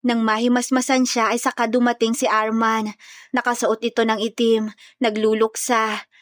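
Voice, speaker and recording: A woman's voice: a little high, flat delivery, moderate pace, no room sound. A woman reading scripted dramatic story narration as a storyteller.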